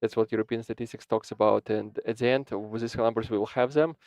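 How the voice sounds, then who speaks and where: A man interpreting a panel discussion into English live, halting often, repeating words and restarting his sentences. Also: medium pitch, steady tone, a little mellow, no room sound. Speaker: simultaneous interpreter. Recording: dull, dry lo-fi sound.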